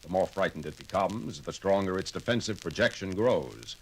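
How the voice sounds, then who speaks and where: An actor playing a calm, logical male science officer who speaks in a measured, precise and formal way. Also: sits low, a little hiss or hum, rough recording. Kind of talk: 1970s audio drama acting.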